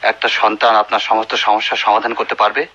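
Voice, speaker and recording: An actor with a Bangladeshi accent playing a humble, melancholy doctor in a radio drama, courteous and hesitant. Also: male voice, fairly low pitch, little pitch movement, a little fast, sharp tone. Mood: flustered, courteous. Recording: dull, noisy old radio recording.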